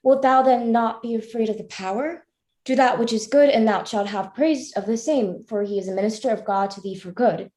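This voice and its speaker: A woman's voice: on the high side, normal speed, darkish tone, natural voice. A woman playing an earnest, devout young woman, speaking formally in a live staged play reading. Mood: firm, devout. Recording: roomy, echoing voice pickup.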